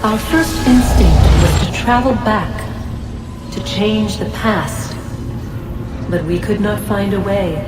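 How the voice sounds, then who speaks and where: An echoing female voice speaks slowly as a solemn, ancient being acted by a woman in a video game. She declaims in a measured, portentous way, set back from the mic under loud music. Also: mid-range voice, distant mic, close to an everyday voice. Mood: grave, sorrowful. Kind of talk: scripted dramatic monologue.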